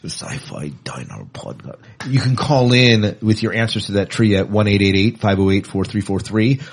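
A man's voice: fairly low pitch, lively intonation, moderate pace, vocal fry, a little hiss or hum. A man hosting a podcast in a casual, chatty, informal way, often repeating himself. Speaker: podcast host.

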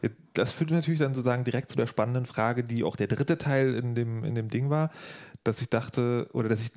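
A man hosting a casual podcast, thinking out loud in informal, slangy language. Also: mid-range voice, a little fast. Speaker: podcast host and interviewer.

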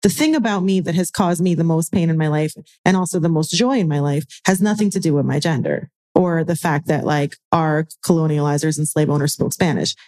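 A woman's voice: deep voice, fairly quick, few pauses, a little mellow. An American woman in her forties speaking as a panel guest, emphatic and wry, repeating phrases for effect. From United States. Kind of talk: panel discussion.

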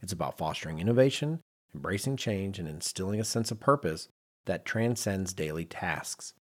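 A man's voice: low voice, expressive, moderate pace. A man hosting a podcast in a conversational, emphatic, self-deprecating way, moving between chat and read-out notes. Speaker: podcast host.

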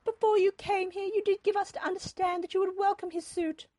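Woman playing a shrill, melodramatic society mother. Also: fairly even intonation, fairly quick, darkish tone, no room sound. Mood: reproachful. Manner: wailing, exclamatory. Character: overwrought aristocratic mother, shrill, melodramatic, pleading for her son. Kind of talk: dramatic audiobook reading of historical romance.

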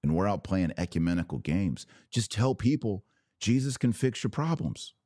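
Deep-voiced American man, emphatic religious commentator. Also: sing-song, normal speed, dark tone, no echo, clean studio sound. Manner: emphatic, preachy, punchy short phrases. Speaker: podcast host taking viewer questions. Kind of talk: impassioned religious commentary, off the cuff.

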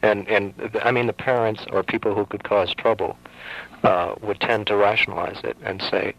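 Low gravelly man on old radio. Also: steady tone, moderate pace, fairly bright tone. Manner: thoughtful, hesitant, lots of ums. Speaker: radio announcer hosting a call-in. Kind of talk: casual call-in radio chat. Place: radio studio. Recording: dull lo-fi radio broadcast.

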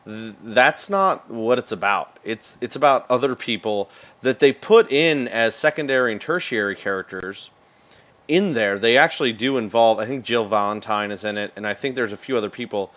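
A man's voice: medium pitch, lively intonation, normal speed, fairly bright tone. A man on a podcast panel chatting casually and critically, repeating himself for emphasis. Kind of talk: casual group discussion.

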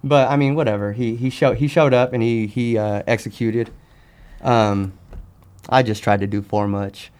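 A man's voice: medium pitch, steady tone, normal speed, a little mellow, smooth. A man chatting casually as a podcast guest, talking in a candid, slangy way. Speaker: podcast guest, sneaker customizer. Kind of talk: casual chat.

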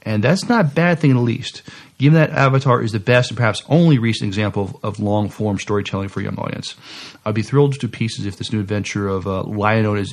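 A man with a fairly low voice and plenty of vocal fry co-hosts a podcast. He reads news items haltingly and chats casually, hesitating as he goes. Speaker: podcast co-host.

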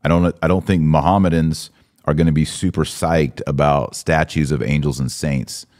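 A man's voice: extremely low pitch, steady tone, moderate pace, a little mellow. An American man hosting a podcast in a casual, opinionated and joking conversational way. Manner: informal, joking, reads out listener comments. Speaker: podcast host.

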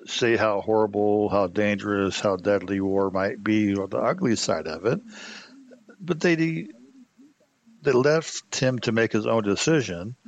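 A man giving explanatory commentary as a host, in a halting, reflective manner. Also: fairly low pitch, creaky. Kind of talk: explanatory commentary on old radio. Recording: slightly muffled, dull sound.

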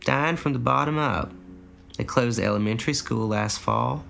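A man voicing a weary, shy old farm wife who speaks softly. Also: mid-range voice, moderate pace, gravelly, pitched above the performer's own voice.

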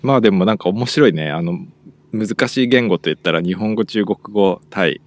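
A man's voice: on the low side, rapid-fire, no echo. A man hosting a podcast, chatting casually and nodding along with short murmured replies. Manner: relaxed, frequent short backchannels. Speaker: podcast host. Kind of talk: casual chat.

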